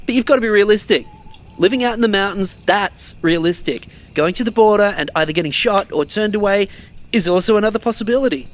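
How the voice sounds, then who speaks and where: A man's voice: high-pitched, fast, close to an everyday voice. A man playing a blunt, exasperated survivor. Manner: blunt, argumentative. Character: Marcus, blunt pragmatic male survivor, exasperated but caring. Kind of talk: naturalistic audio drama dialogue.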